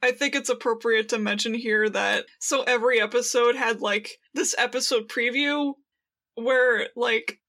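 A woman's voice: on the high side, steady tone, measured pace, a bit bright. A woman co-hosting a podcast, retelling things in slangy casual chat and acting out quoted lines. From United States. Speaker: podcast co-host.

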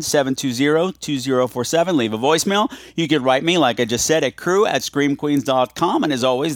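Higher-voiced man hosting a podcast, dry sound. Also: dry recording. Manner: rambling, self-deprecating, emphatic repetition. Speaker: podcast host. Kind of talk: casual, confessional solo podcast monologue.

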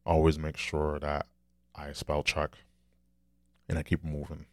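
A man with a deep, low, soft voice, talking candidly and rambling in a casual solo monologue, swearing now and then. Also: monotone, measured pace, dry recording, studio quality.

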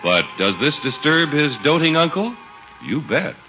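Old 1950s radio announcer guy, faint music behind, very animated and emphatic, scripted sponsor read. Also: a little high, a little slow. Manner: polished, emphatic sales delivery. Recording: muffled 1950s radio recording.

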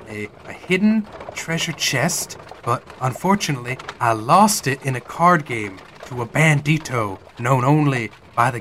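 A man voicing a secretive Old West treasure hunter who speaks low and stammers. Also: slight background noise. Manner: hushed, hesitant, stammering.

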